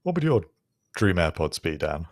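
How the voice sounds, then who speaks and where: A man with a deep voice, recorded dry with no room sound. He co-hosts a casual discussion and explains things in a measured way. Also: very animated, dry recording. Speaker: podcast co-host.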